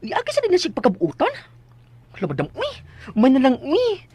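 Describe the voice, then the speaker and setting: Sassy excitable office worker, extremely high pitch, hugely animated melody, theatrical exclamations, Filipino accent, radio drama. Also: female voice, fairly quick, no room sound. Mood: sympathetic, playful. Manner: exclamatory, theatrical wailing and teasing.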